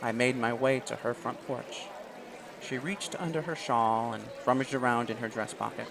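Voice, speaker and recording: A man's voice: mid-range voice, fairly even intonation, moderate pace, vocal fry, noisy background. A man reading a visual novel aloud in first person, with small restarts. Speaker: let's play host.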